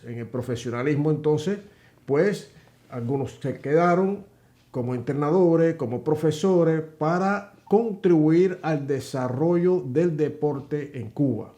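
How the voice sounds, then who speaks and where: A man's voice: mid-range voice, dark tone. A man co-hosting a political commentary show, explaining in a hesitant way with many fillers. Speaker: co-host.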